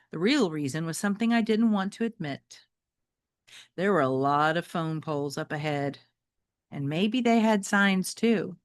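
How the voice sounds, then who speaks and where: A woman with a fairly low voice reads a children's story aloud like a teacher. Her phrasing is expressive and addressed directly to young listeners. The recording is dry, with little sense of a room. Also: no echo. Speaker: teacher reading aloud to students.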